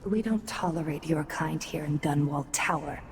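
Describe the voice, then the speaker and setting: A woman's voice: deep voice, normal speed, natural voice. A woman voicing a haughty, prim game character who scolds like an aristocratic matron. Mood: haughty scolding. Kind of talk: video game character banter.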